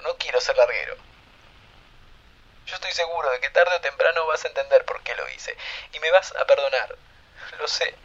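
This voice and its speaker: A man's voice: on the high side, a bit bright. An actor playing a sentimental Argentine man confiding in his best friend through a recorded message in an audio drama. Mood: tender. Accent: Argentine, Rioplatense. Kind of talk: intimate recorded-message monologue in audio fiction. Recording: slightly dull, lo-fi recorded message.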